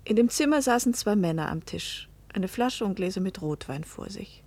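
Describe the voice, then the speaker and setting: A cool, self-possessed young woman voiced by an actress narrates in the first person for a radio drama. Her voice is medium in pitch and husky, her telling measured and reflective. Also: lively intonation, darkish tone, natural voice. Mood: detached, amused.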